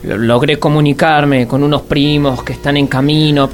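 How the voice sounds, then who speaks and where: Solemn, secretive Argentine waiter played by an actor. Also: male voice, on the high side, steady tone, moderate pace, slight background noise, lightly acted. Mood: deadpan, apologetic. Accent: Argentine, Rioplatense. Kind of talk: comedic radio drama acting.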